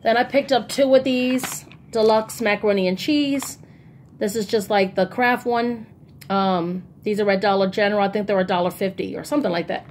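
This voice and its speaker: A woman's voice: mid-range voice, fairly even intonation, normal speed, slight background noise. A woman chatting casually and informally in a rambling style, as a vlogger showing off her shopping. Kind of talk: casual haul vlog chat.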